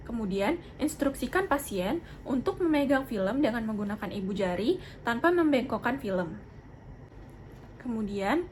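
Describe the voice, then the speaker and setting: A young woman with a fairly high voice explains a procedure step by step. She is formal, polite and methodical. Faint noise sits behind her voice. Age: young adult. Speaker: dental student demonstrating a procedure. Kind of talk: instructional narration with role-played patient consultation. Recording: clearly noisy amateur video sound.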